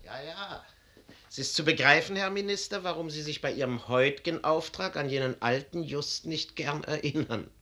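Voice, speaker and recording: An actor playing a boisterous, jovial, spendthrift cavalry general with an exuberant manner, in a 1950s radio drama. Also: male voice, fairly high pitch, moderate pace, pushed and bright. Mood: mocking. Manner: exuberant, chuckling. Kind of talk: 1950s German radio drama verse acting.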